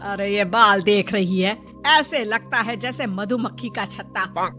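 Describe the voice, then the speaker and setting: Woman as a slow-talking, blunt scolding cartoon relative. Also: mid-range voice, pushed and bright, soft background music. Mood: teasing.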